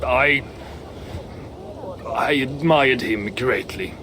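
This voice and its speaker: An actor playing a proud, blunt dwarf in a video game, speaking in a halting, deliberate way. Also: male voice, medium pitch, noisy background. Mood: mournful, halting. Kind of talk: video game cutscene acting. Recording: game audio with loud music.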